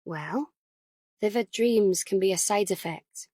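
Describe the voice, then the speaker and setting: Audiobook role: woman as no-nonsense female nurse, crisp, pleasantly firm, professionally soothing. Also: mid-range voice, slow, dark tone, no room sound, clean studio sound. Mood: softened, soothing.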